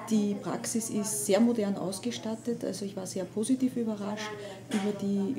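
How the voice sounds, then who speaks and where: Gravelly-voiced woman, patient testimonial. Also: medium pitch. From Vienna, Austria. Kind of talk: interview testimonial. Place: office, indoors.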